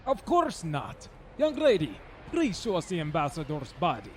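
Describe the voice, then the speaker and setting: Man playing a high-voiced, courteous, menacing gentleman villain. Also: huge pitch swings, moderate pace, a little hiss or hum. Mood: smooth, coaxing. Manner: formal, elaborately polite. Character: suave menacing older man with a cat companion. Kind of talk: audio drama acting.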